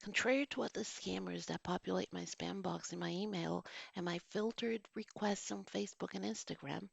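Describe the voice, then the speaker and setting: Gravelly husky woman reading a review. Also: fairly low pitch, dry recording. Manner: digressive, reads formal sentences then drifts into casual remarks. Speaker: vlogger and teacher. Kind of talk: reading a written movie review with chatty asides. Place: home.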